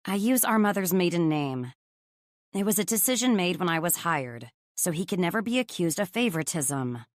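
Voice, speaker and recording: A woman's voice: on the low side, animated, normal speed, no room sound, clean studio sound, natural voice. A woman voicing an earnest, guarded young heroine in audiobook dialogue, sincere and a little unsure. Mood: matter-of-fact.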